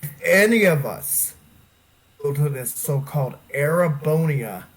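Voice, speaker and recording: A man giving casual gameplay commentary with halting, drawn-out reactions. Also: fairly high pitch, animated, poor audio. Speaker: gamer reacting to a playthrough. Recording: game music behind the voice.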